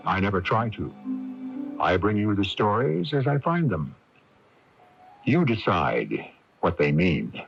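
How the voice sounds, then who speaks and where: A man hosting a radio drama, narrating in a measured, theatrical, scripted manner. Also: lowish voice, very animated. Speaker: radio show host and announcer. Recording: dull lo-fi AM radio recording with music.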